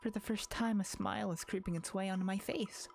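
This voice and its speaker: A woman voicing a timid, distraught teenage girl in a visual novel, stammering and pleading, slipping into breathy whispers. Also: medium pitch, fairly even intonation, brisk, a little mellow, a little hiss or hum, close to an everyday voice. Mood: nervous, giddy. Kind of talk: visual novel fan dub, dramatic reading.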